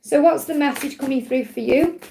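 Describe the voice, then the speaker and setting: A woman hosting a live spiritual card reading, her voice croaky from overwork, reassuring and repeating her key phrases. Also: sits high, normal speed, mellow, a little hiss or hum, poor audio. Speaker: spiritual card reader, livestream host.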